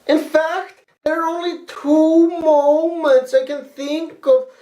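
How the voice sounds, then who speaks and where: High-pitched, slow man lecturing bluntly. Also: flat delivery, mellow, reverberant. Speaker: video critic. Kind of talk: reading a prepared response video.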